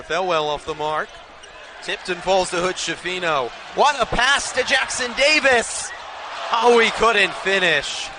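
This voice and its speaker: An American man calling live basketball play-by-play for television with emphatic game calls. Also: a little high. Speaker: play-by-play announcer. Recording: noisy arena with loud crowd. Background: crowd noise.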